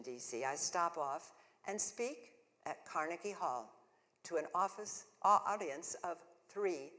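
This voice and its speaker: A woman's voice: fairly low pitch, close to an everyday voice. An actress playing a spirited woman suffragist in her thirties, telling her own story in the first person during a live stage monologue with earnest, wry humor. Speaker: solo stage performer. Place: church hall, live performance. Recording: long church reverb.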